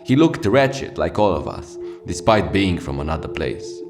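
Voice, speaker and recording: Casting: male actor as brooding late radio host of a surreal city, low voice, poetic and profane, loud music underneath. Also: big pitch swings, normal speed. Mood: wry, reverent. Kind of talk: scripted fiction radio monologue. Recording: music behind the voice.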